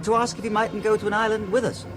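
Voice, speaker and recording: A man's voice: high voice, level tone, fast talker, over music, natural voice. An actor in a radio drama playing a nervous, fussy young man who talks hesitantly.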